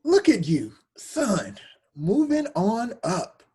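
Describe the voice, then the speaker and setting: A man playing a proud, encouraging middle-aged father with a husky voice in a script table read. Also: sits high, wildly swooping pitch, takes it slowly, darkish tone, exaggerated voice.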